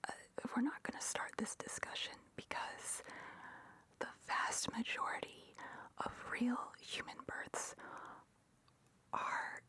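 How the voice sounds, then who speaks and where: Whispering woman ASMR host, bright and breathy. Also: slow, pauses often. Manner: whispers throughout, breathy and unvoiced; chatty, opinionated, geeky enthusiasm. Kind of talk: whispered ASMR rambling about films.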